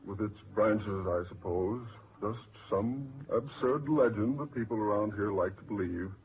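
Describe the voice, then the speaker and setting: Low-voiced, sardonic English gentleman. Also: measured pace. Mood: scoffing. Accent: British. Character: wry, scoffing, suave English gentleman. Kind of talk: 1940s radio drama acting. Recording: noisy, tinny 1940s radio recording.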